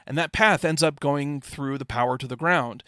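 Male presenter, middle-aged, somewhat higher-pitched, casual explainer style for a technical talk, very dry close recording. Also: normal speed, pushed and bright, no room sound. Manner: informal, hand-waving explainer with rhetorical questions.

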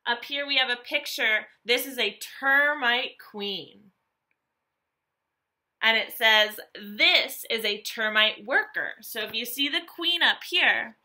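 Bright, slow-paced woman teacher. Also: on the high side. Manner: animated, deliberate enunciation, addressing young children. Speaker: elementary school teacher. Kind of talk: children's nonfiction read-aloud lesson.

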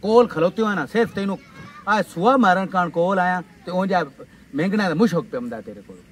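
Guy playing cocky young village rival, high voice, rural punjabi accent, teasing, bit of outdoor noise. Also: animated, brisk. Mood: boastful. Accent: rural Pakistani Punjabi. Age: young adult. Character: taunting, boastful young village rival in love. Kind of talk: village comedy drama acting. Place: outdoors in open grassy field. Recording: distant outdoor sound, faint ambient noise.

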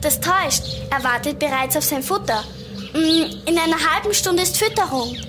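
An earnest young girl in a children's radio play. Her voice is very high. She sounds knowledgeable and keen on animals, with music playing underneath. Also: expressive, moderate pace. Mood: eager explaining. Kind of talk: German children's audio drama acting.

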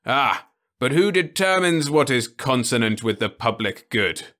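A man's voice: medium pitch, animated, unhurried, a bit bright, pitched above the performer's own voice. A man voicing a grumbling, rebellious old man in a storybook reading. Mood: scornful. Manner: scornful, mournful.

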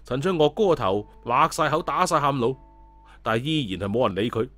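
Lively Cantonese male storyteller over music. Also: medium pitch, moderate pace. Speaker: audiobook storyteller. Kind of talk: lively colloquial scripted narration. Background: background music.

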